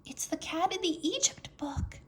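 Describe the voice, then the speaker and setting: A woman voicing an eager, bold young girl adventurer in a storybook, with an excited, urging manner. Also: very high voice, normal speed, slight background noise, voice pushed up. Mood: eager, awed.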